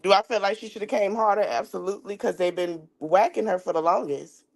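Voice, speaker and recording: A woman caller chats in an agreeable, relaxed way. Her voice has a gravelly fry and sounds close and dry. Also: medium pitch, a little fast, no echo. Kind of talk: casual chat.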